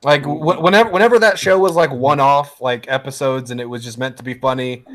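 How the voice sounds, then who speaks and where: A man's voice: mid-range voice, brisk, fairly bright tone. A man co-hosting a casual podcast, blunt and teasing, with a lot of swearing. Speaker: podcast co-host. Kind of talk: casual chat.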